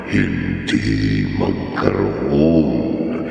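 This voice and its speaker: A man with an extremely low, creaky voice narrates radio horror with ominous weight. He drags out his words and swells on key phrases, the sound echoing in a huge reverberant space. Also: big pitch swings, darkish tone, distant mic. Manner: ominous, drawn-out delivery with swelling emphasis. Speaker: narrator and host of a horror radio drama series. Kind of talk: slow dramatic scripted horror radio narration. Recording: cavernous long reverb with echo. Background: music.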